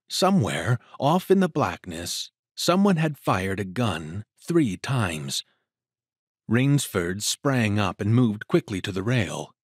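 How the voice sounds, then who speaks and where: Male narrator, mid-pitched, very varied intonation, measured dramatic storytelling, very dry studio sound. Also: no room sound.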